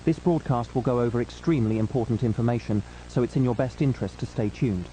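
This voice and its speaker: Male emergency broadcast announcer, relaxed dark tone, solemn and formal scripted read, slightly noisy recording with hum. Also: mid-range voice, fast.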